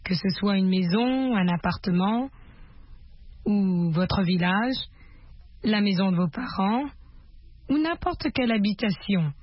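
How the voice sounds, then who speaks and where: A woman teaching English to French speakers in a scripted, deliberate, instructional manner. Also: mid-range voice, lively intonation, husky, no echo. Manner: instructional, deliberate, repeats questions. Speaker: language teacher. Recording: muffled lo-fi audio, loud guitar music.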